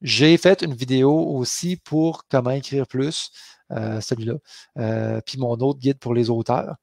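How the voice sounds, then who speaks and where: A man's voice: medium pitch, fairly even intonation, unhurried, creaky, darkish tone, no room sound, studio quality. A man in his forties with a Québécois accent from the Beauce, chatting casually in a live Q&A from his home office on a headset mic, with many fillers and restarts. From Quebec. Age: 40s. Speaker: author hosting a live Q&A.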